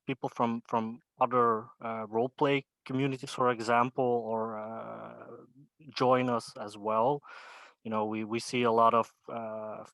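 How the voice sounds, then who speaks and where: A man's voice: medium pitch, fairly bright tone, no room sound. A man talking as a guest on a casual video call, repeating words and leaning on "you know". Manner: repetitive, many "you know" fillers.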